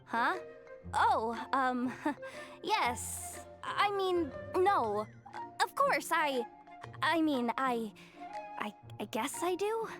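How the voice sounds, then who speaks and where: A woman voicing an eager, easily rattled young female underling in a video game, with a nervous, stammering, excitable delivery. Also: high-pitched, drawn-out words, over music, heavily acted. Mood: flustered. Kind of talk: animated video game voice acting.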